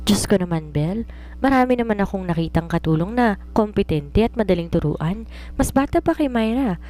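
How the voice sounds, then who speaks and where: A woman's voice: medium pitch, animated, normal speed, dark tone, lightly acted. A woman acting an exasperated, scolding young employer. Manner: scolding, exclaiming. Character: exasperated young woman writer, hot-tempered employer scolding her maid.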